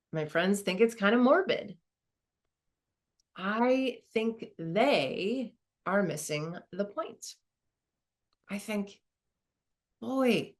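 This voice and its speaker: A woman playing a reflective, earnest professor in her sixties, in a halting, conversational stage monologue. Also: mid-range voice. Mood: thoughtful. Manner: halting, thoughtful, colloquial. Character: reflective, earnest 64-year-old woman, professor nearing retirement. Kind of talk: dramatized first-person monologue, conversational.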